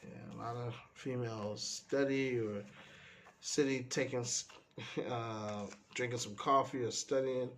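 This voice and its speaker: A man, stuffy from a cold, casually talking through the pages of his sketchbook in a relaxed, meandering way. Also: mid-range voice, unhurried, slight background noise. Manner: relaxed, meandering, trails off often. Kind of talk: casual rambling commentary.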